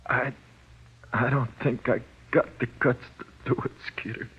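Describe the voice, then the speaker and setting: An actor playing a frightened, childlike condemned prisoner with slangy, uneducated speech in 1940s radio drama style. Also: male voice, mid-range voice, steady tone, measured pace, slightly rough. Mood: desperate, anguished. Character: frightened, childlike condemned male convict awaiting execution. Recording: muffled, dull old radio recording.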